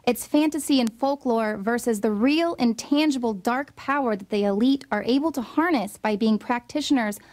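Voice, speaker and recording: Woman doing dramatic scripted voice-over, kinda high voice, insinuating tone. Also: moderate pace, hardly any pauses, a little mellow. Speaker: narrator. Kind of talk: scripted narration.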